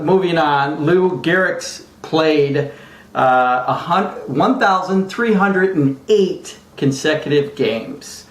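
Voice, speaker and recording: A man's voice: on the high side, a bit bright. A man giving an informal mock sports report, rambling and stumbling with self-deprecating asides. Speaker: amateur sportscaster, sidekick co-host. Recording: echoey room, faint background noise.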